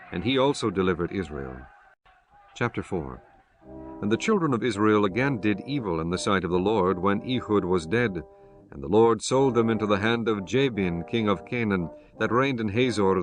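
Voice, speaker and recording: A man narrating scripture from a script in a measured, solemn manner with archaic biblical wording. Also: fairly low pitch. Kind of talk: scripted scripture narration, King James Bible.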